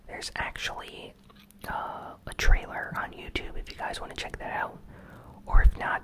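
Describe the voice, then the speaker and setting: A host whispering a casual, conversational ASMR gaming news recap in a breathy, unvoiced whisper.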